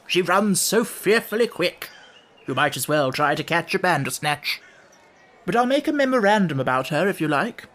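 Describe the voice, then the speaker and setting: A man's voice: high-pitched, big pitch swings, normal speed, a bit bright. A man voicing a mild, fussy old storybook king, nervous and given to muttering and repeating himself. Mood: resigned, musing.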